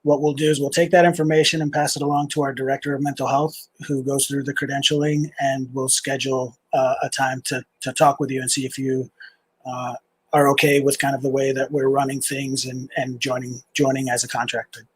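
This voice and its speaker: A middle-aged man, a nonprofit founder, answering interview questions in a halting, casual way. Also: medium pitch, level tone, slight background noise, low-quality recording. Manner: halting, with many "uh"s and restarts.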